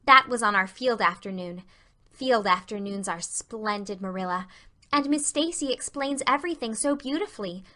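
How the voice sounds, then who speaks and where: A woman's voice: medium pitch, expressive, moderate pace, bright, forceful tone. A woman voicing an earnest, romantic, effusive schoolgirl in a dramatized audiobook, with gushing, dramatic delivery.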